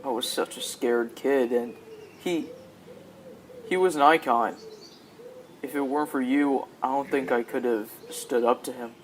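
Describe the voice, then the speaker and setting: A man's voice: mid-range voice, a little slow, long pauses, slight background noise, natural voice, rough recording. A teenage boy playing a troubled, pleading company boss and devoted husband in a radio drama. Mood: pleading, anguished. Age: teens, high school student.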